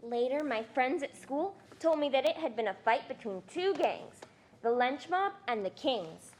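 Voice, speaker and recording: A wide-eyed storyteller voiced by a young woman relives a scary childhood scene in reader's theatre. Her voice is extremely high, with faint noise behind it. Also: animated, unhurried. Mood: hushed fear. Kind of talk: scripted dramatic narration.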